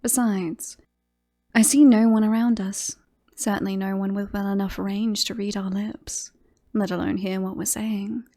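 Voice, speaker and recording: Woman playing a slow, sultry flirtatious royal. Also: medium pitch, steady tone, warm tone, close to an everyday voice. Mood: teasing, flirtatious. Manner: teasing, sultry, breathy sighs and whispery phrases. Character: flirtatious, smug, romantic royal teasing a beloved knight. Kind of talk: ASMR roleplay acting.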